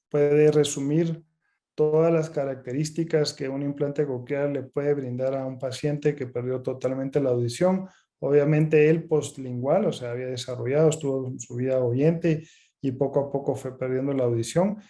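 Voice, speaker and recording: A man speaking as a doctor giving an explanatory webinar lecture, halting and pausing mid-phrase. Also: fairly high pitch, dark tone. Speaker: ENT specialist doctor presenting a webinar.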